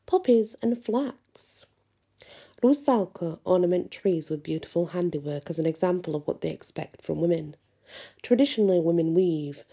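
A woman with a husky, slightly gravelly voice, reading researched notes as an informal narrator and adding casual asides. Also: sits low, mellow. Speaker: host of a series on spirits and folklore.

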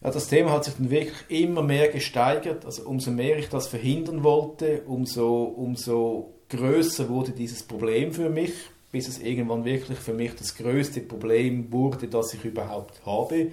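A man's voice: mid-range voice, moderate pace, few pauses, mellow, roomy sound. A man, an interview guest speaking earnestly about his life, stuttering and repeating words. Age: 50s. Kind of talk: personal interview.

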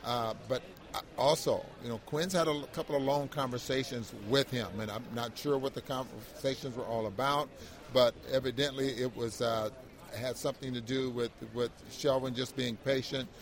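A male sports analyst talks through a game in a conversational way. His voice is medium in pitch, and he speaks haltingly, doubling back on words in an agreeable manner. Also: steady tone. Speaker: sports radio co-host, analyst.

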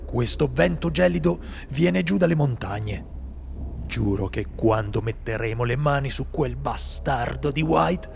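A man playing a seasoned, solitary monster hunter in an amateur radio drama, speaking gravely and steadily. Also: mid-range voice, animated, unhurried, a little hiss or hum. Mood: grim. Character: seasoned male monster hunter, brooding loner.

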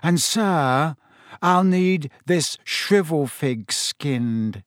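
A man's voice: medium pitch, lively intonation, slow, dry recording, studio quality. A man voicing a smug, malicious schoolboy bully in an audiobook, with a drawling, mock-plaintive manner. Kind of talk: audiobook character voice.

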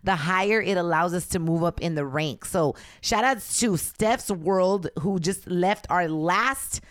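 Raspy-voiced woman podcast host. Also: on the low side, unhurried, no echo, studio quality. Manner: blunt, candid, slips into Spanish. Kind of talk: casual chat.

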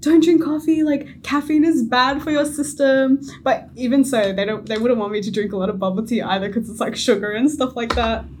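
A woman's voice: a little high, fairly even intonation, fairly quick, hardly any pauses, a little mellow, slight background noise. A young woman with an Australian accent, a podcast guest who giggles, apologizes and uses lots of fillers. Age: young adult. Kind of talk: casual interview chat.

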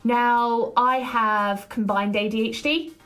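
A woman hosting her own podcast, talking alone in an energetic, chatty way with a loud voice and frequent ums. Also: a little high, slow, faint music behind. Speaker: podcast host. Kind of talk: solo podcast monologue.

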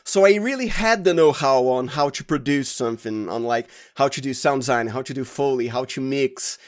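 A man's voice: medium pitch, a little fast. A man from Brazil with a Brazilian accent, a native Portuguese speaker, talking casually as an interview guest and often restarting his phrases. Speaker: guest, podcast producer and sound designer.

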